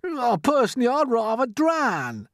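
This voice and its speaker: A miserable, snappish male earthworm from a storybook. The voice leaps high and swings dramatically as it wails and grumbles that all is lost, in a dry, close sound. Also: wildly swooping pitch, measured pace, a bit bright, no echo, exaggerated voice. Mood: doom-laden complaining. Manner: dramatic, wailing complaint. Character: gloomy, irritable blind male earthworm, forever prophesying doom. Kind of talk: storybook character voice.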